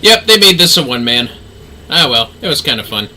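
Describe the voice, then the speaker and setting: A man's voice: mid-range voice, sing-song, a little fast, pushed and bright, a little hiss or hum. A man livestreaming a video game, giving casual running commentary as he plays.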